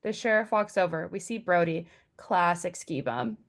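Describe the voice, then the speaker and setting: A woman's voice: lowish voice, lively intonation, moderate pace. A woman narrating stage directions from a script at a table read.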